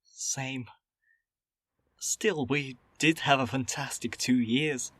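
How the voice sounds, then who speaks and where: An actor playing a weary but gentle male ex-agent in an audio drama, speaking in an understated, measured way. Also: mid-range voice, steady tone, no echo. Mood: wistful.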